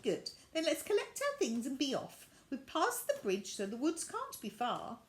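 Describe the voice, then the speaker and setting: Woman playing a brisk, cheerful storybook lady, high-pitched. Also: huge pitch swings, echoey room. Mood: brisk, encouraging. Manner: encouraging, unflappable, exclaiming. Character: brisk, cheerful, practical woman looking after a girl. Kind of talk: children's storybook character voice.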